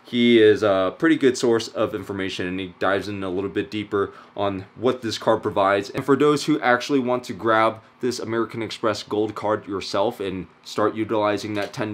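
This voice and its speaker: A young man in his twenties, for whom English is not his first language, talking casually to camera in a vlog, excitable and slangy, often saying "dude" and "freaking". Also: on the low side, moderate pace, few pauses. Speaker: vlogger. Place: car, then kitchen, then living room, indoors.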